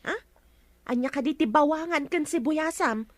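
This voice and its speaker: A woman playing a defensive, excitable young villager in an Ilocano comedy radio drama. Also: sits high, normal speed, dry recording, close to an everyday voice. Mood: flustered.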